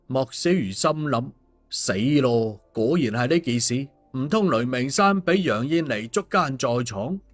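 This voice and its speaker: A man's voice: medium pitch, lively intonation, unhurried. A man narrating a scripted story, as an audiobook reader. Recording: music under the voice. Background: background music.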